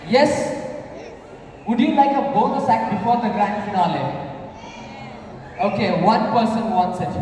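A man's voice: sits high, darkish tone, a little hiss or hum. A man, performing a juggling act and hyping up a live crowd with jokes and patter. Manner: showman hyping a crowd, jokey. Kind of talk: live stage show patter. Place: large echoey mall hall with a crowd. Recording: echoey hall recorded from a distance.

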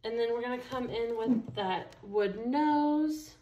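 A woman's voice: fairly high pitch, slow, dark tone, dull audio. A woman teaching a casual craft tutorial, chatting enthusiastically as she works with her hands at a table. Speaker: craft store instructor. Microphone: overhead camera, only her hands seen.

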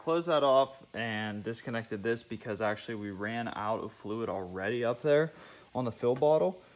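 A man's voice: mid-range voice, animated, moderate pace, music in the background. A young man casually talking through a car repair step by step in his garage, thinking aloud as he works. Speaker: car DIY channel host. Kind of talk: casual how-to narration while working. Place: home garage, concrete floor.

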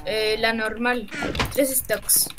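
Chilean gamer woman, slow, high, noisy mic. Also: fairly even intonation. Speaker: player on voice chat. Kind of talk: casual gaming chat.

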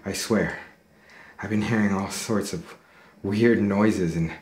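Horror film role: male actor as haunted, foul-mouthed, agitated young man, deep voice, echoey room. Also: a little mellow. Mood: weary, unsettled. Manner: halting, sweary, agitated. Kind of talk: found-footage horror film acting, confessional to camera. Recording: roomy echo, faint background noise.

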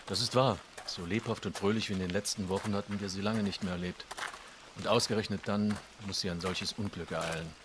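Male actor for a bereaved, polite gentleman in radio drama: low, formal, with noticeable background noise. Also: steady tone, fairly quick, lightly acted. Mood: shaken, sober. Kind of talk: German radio drama acting.

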